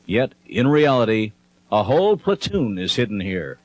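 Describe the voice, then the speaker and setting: A man reading scripted narration for an instructional documentary film in a measured, instructive manner. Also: on the low side, very animated, poor audio.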